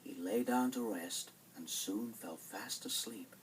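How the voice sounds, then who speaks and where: A man narrating a children's bedtime story in a dramatic, expressive scripted style. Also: lowish voice, takes it slowly, far from the mic, a little hiss or hum, poor audio. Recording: vinyl record with music behind.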